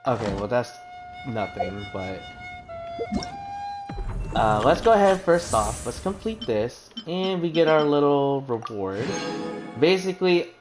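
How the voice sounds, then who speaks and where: A man's voice: mid-range voice, very animated, a little slow, long pauses, over music. A man streaming a game live, reacting in a chatty, emotional way with sighs. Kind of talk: casual live gameplay commentary.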